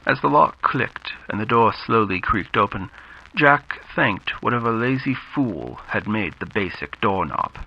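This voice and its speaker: A man narrating a scripted horror story in the style of classic radio drama, with measured, dramatic delivery. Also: fairly low pitch, creaky, breathy edge. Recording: muffled lo-fi audio with background noise.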